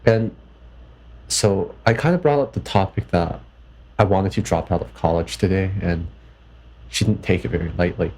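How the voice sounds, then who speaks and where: A man's voice: sits low, moderate pace, pauses often, a little mellow, rough recording. A young man from Canada in a personal vlog, reflecting thoughtfully and hesitantly, often restarting his sentences. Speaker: vlogger.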